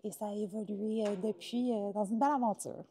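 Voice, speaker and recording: Mellow Québécois woman, hesitant. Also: medium pitch, fairly even intonation, a little fast, slight background noise. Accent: Québécois French. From Quebec, Canada. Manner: hesitant, many filler sounds, warm and talkative. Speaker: interview guest, former teacher turned entrepreneur. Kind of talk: casual interview conversation.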